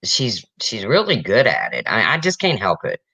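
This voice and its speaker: A woman from the US Midwest with a deep, raspy voice, chatting bluntly as a podcast co-host. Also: sing-song, moderate pace, bright, forceful tone, dry recording. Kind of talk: casual chat.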